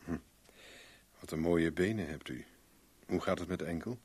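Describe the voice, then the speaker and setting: An actor in a Dutch radio drama playing a wry, flirtatious private investigator with a glib, teasing manner. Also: male voice, low-pitched, moderate pace, darkish tone, slightly rough. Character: wry, flirtatious, cool-headed male private investigator for hire.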